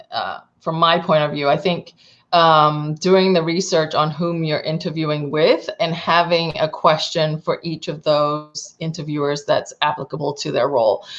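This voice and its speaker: Recruiter woman on muffled call audio. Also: deep voice. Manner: candid, practical, thinks aloud. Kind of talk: panel discussion.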